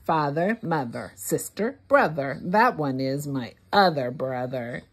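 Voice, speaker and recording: A woman reading a rhyming picture book aloud for young children, word by word, with deliberate expression. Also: low-pitched, breathy edge. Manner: deliberate, word-by-word, expressive delivery for early readers. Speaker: children's read-along host.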